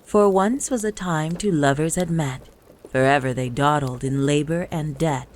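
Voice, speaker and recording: A woman storyteller reading a rhyming Christmas poem in a measured, lilting delivery. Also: low voice, darkish tone. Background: music.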